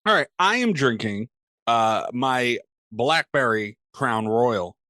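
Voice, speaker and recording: A man's voice: mid-range voice, lively intonation, slow pace, pushed and bright, dry recording, clean studio sound. A man co-hosting a podcast in casual banter, blunt, profane and teasing. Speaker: podcast co-host.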